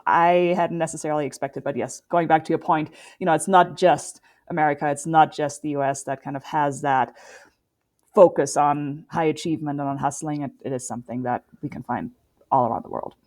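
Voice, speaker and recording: A woman with a low, grounded voice and a noticeable German accent, answering interview questions in English, her second language. She speaks in measured, articulate sentences, often pausing on "you know". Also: steady tone, darkish tone. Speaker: guest, endurance athlete. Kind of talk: conversational interview.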